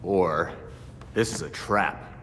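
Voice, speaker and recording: A man's voice: low-pitched, slow pace, fairly bright tone, big-room sound, slight background noise. An actor playing a blunt, sardonic young male vigilante in a video game cutscene.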